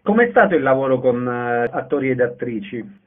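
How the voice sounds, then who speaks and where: A man's voice: medium pitch, animated, normal speed. A man acting as interviewer, asking short questions, with a muffled lo-fi radio sound.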